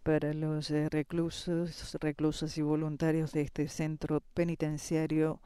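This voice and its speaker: A man's voice: a little high, monotone, measured pace, dark tone, clear, resonant tone, no room sound. A man giving live event commentary as a broadcast commentator. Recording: crowd and noise behind commentary. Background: event crowd ambience.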